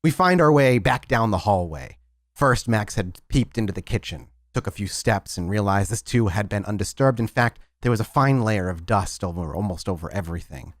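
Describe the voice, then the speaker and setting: A man's voice: fairly low pitch, animated, moderate pace, no echo, studio quality. A man narrating a tabletop roleplaying game as storyteller, describing scenes in detail.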